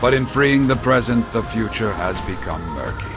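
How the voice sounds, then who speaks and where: A wise, aged former hero of a fantasy game, acted by a man, speaks in a low voice. His phrasing is courtly, archaic and measured, with a self-deprecating streak. Music plays prominently behind him. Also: lively intonation, lightly acted. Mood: grave, measured. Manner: formal, archaic, measured diction. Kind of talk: scripted video game acting.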